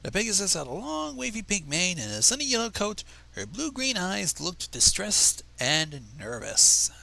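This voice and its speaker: A man's voice: sits high, wildly swooping pitch, normal speed, creaky, pushed and bright. A man reading fan fiction aloud on a livestream, narrating expressively and dropping into casual asides. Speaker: livestream reader.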